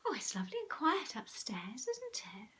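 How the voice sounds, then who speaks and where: A firm storybook mother laying down the rules, her voice pitched up and bright, as a woman reads her. She talks in short, brisk bursts. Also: sing-song, exaggerated voice. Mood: alarmed.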